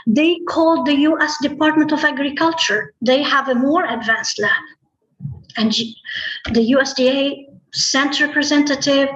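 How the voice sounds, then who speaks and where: A woman telling a tall tale live, with deliberate, dramatic phrasing, pauses and repetition. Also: high-pitched, a bit bright, slight background noise. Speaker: storyteller. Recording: roomy, echoey, slightly muffled online-call audio.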